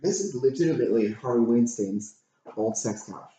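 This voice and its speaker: A man's voice: mid-range voice, expressive, moderate pace, mellow. A man co-hosting a casual chat, musing and explaining his point with repeated phrases. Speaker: co-host. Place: living room, indoors. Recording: echoey living room with background noise.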